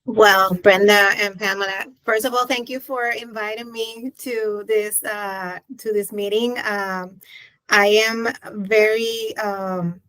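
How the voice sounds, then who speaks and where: A woman in her thirties with a Puerto Rican accent, a physician answering interview questions in a measured, thoughtful way with frequent pauses and restarts. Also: medium pitch, fairly even intonation, fairly bright tone, dry recording, rough recording. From Puerto Rico. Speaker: physician guest.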